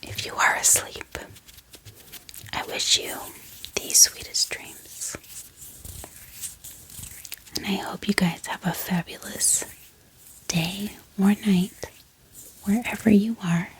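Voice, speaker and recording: A woman whispers softly and breathily in a gentle, chatty ASMR style, talking informally to her viewers close to the microphone. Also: mid-range voice, steady tone, slow pace, long pauses. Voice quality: breathy, mostly unvoiced whisper. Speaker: ASMR video host. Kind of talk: soft-spoken whispered ASMR.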